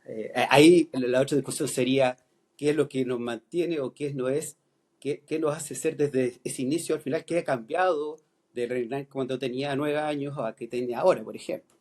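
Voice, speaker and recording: A man's voice: medium pitch, fairly even intonation, normal speed, darkish tone. A middle-aged Chilean man with a Chilean accent, a philosophy teacher talking on a podcast, thoughtful and full of hesitations and restarts. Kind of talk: podcast conversation.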